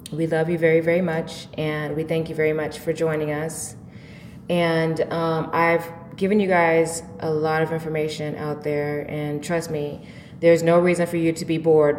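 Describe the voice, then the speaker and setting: Woman, low-pitched, spiritual show host, informal and preachy, emphatic delivery, reverberant room sound. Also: level tone, normal speed, darkish tone. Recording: echoey, reverberant room with faint noise.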